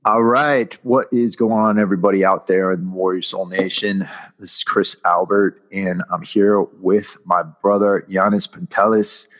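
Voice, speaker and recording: A man's voice: deep voice, fairly even intonation, measured pace, a bit bright. A man hosting a podcast, talking in an upbeat, loose conversational way as he introduces a guest and opens the interview. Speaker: podcast host.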